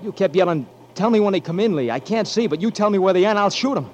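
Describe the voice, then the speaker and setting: Loyal marine buddy, blunt, confrontational, animated pitch, faint noise. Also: male voice, fast, dull audio. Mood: confronting. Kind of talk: 1940s radio acting.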